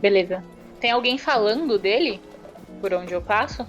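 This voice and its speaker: A woman's voice: mid-range voice, animated, moderate pace, fairly bright tone. A woman playing a tabletop roleplaying game, casually stating actions and asking questions in character. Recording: slightly muffled, band-limited audio with music. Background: background music.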